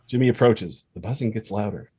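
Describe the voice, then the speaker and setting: A man's voice: on the low side, a little fast, mellow, slightly rough. A man reading stage directions as scripted narration for a screenplay table read.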